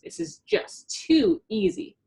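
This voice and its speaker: A woman voicing a gloating, scheming demon villain from a children's storybook, with mocking, drawn-out delivery. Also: mellow, lightly acted.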